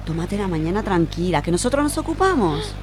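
A woman's voice: lowish voice, very animated, fairly quick, darkish tone. A woman playing a protective, no-nonsense market vendor in an audio drama, music underneath. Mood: reassuring, brisk.

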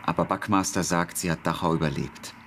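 An actor playing a wry, dryly ironic British wartime intelligence officer in a radio drama. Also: male voice, low voice, a little fast, fairly bright tone, a little hiss or hum. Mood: dry, probing.

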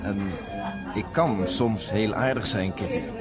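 An actor playing a self-assured, courteous resistance fighter with a dry edge, in radio drama dialogue. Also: male voice, lowish voice, slow. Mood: relaxed, courteous. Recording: radio play with loud music, crowd.

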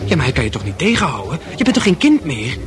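An actor playing a shy, tender young suitor in a radio play, halting and stammering as he speaks, earnest and protective. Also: a little high, huge pitch swings. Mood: concerned, indignant. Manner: halting, stammering, repeats words. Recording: music behind the voice.

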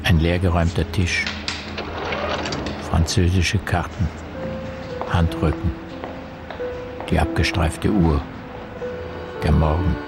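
A man playing a brooding narrator in a noir radio play, speaking measured, terse poetic fragments over music. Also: low voice, level tone. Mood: terse, suspenseful. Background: music behind the voice.

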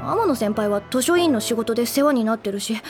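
A woman's voice: a little high, animated, brisk, over music, natural voice. An actress playing a defensive, curt teenage schoolgirl in a drama CD. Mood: prickly.

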